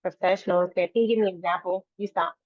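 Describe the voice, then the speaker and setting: A woman speaks earnestly and a little low, often restarting her phrases. The sound is tinny and phone-like, with no room echo at all. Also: fairly even intonation, fast. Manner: earnest, repeats and restarts phrases, faith-filled. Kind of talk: casual interview conversation. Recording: dry, tinny phone-like line.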